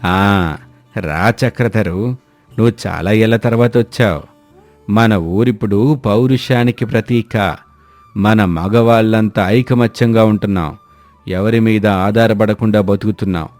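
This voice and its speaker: A man acts out an old village elder in a moral tale. He is proud and stubborn, speaking slowly and boastfully, with soft music behind the dry voice. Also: on the low side, steady tone, dry recording. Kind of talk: dramatised moral-story dialogue.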